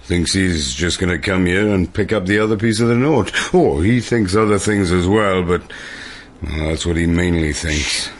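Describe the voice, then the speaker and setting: A wry working bloke in a radio drama, really deep rough voice, plain spoken and understated. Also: male voice, normal speed. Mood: calm, watchful. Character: wry working man, night foreman turned accomplice.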